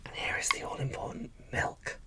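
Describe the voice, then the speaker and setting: Whispering British ASMR creator. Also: moderate pace. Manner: soft, casual, chatty whisper. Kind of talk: whispered ASMR unboxing commentary.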